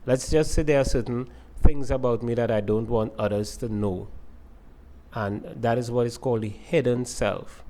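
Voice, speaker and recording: A male lecturer with a voice of ordinary middle pitch. He explains concepts methodically and builds lists by repeating the same opening words. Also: fairly even intonation, moderate pace, mellow. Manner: explains step by step with repeated phrasing. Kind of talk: recorded lecture to students.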